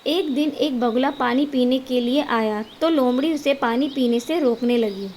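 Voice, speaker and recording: A woman storyteller with a fairly high voice reads a scripted children's tale. Faint noise runs behind her narration. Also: a little slow, darkish tone.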